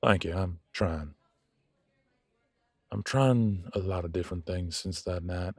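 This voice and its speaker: A man playing a proud, blunt werewolf in an audio drama, speaking haltingly as he grudgingly makes peace with a rival. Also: low voice, darkish tone, dry recording. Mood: grudging, earnest. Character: gruff proud male werewolf, reluctantly making peace with rival. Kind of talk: scripted audio roleplay drama.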